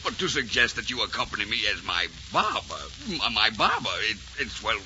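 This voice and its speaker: A fussy, reluctant barber in a 1940s radio play. He grumbles in a lowish but forceful voice that swings around a lot. The old recording is dull. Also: big pitch swings, brisk, full character voice. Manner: fussy, dour, hesitant. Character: grumbling, reluctant bearded barber, servant to a prince. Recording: muffled 1940s radio recording, faint noise.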